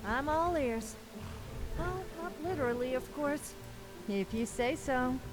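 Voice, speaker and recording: A cheeky, self-assured female adventurer from a video game. Her voice is high and her delivery is wry and teasing, with music clearly behind it. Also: animated, a little slow. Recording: game audio under music.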